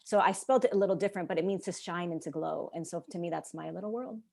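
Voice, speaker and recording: Low-voiced woman, native Czech speaker. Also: fast talker, gravelly. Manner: rambling, animated, laughs often. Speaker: podcast guest. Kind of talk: casual interview chat.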